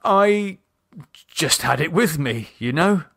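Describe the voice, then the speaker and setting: A man voicing a shaken, exhausted man in an audiobook horror drama, halting and hesitant, with sarcasm and fear. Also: fairly high pitch, lively intonation, creaky, a bit bright, slightly rough, no echo, studio quality, voice pushed up, heavily acted. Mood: weary, evasive.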